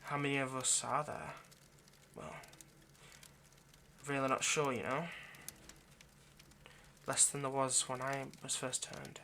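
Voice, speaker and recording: A man playing an anxious, lovesick vampire kidnapper in an intimate audio roleplay, speaking in a halting, pleading way. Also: medium pitch, level tone, sharp tone, a little hiss or hum. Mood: weary, explanatory. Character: anxious, lovesick male vampire kidnapper, centuries old but youthful.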